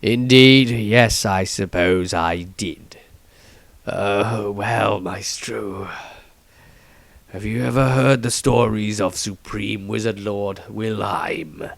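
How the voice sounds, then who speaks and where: A man voicing an aged, solemn wizard patriarch in a fantasy story, with slow, halting, weighty speech. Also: lowish voice, gravelly, dry recording, pitched below the performer's own voice, full character voice.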